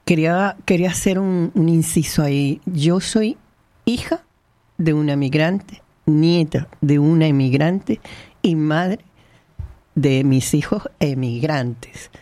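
A woman's voice: low-pitched, slow, mellow, no room sound. A woman from the Canary Islands with a mixed Canarian and Venezuelan accent, telling her life story frankly as an interview guest. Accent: mixed Canarian and Venezuelan Spanish. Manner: emotional, frank, with restarts and laughs.

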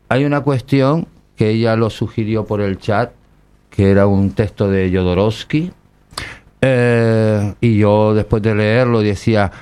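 Low smooth guy hosting a chat, rambles, lots of ums. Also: dark tone. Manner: rambling, hesitant with many fillers. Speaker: host. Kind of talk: casual panel chat.

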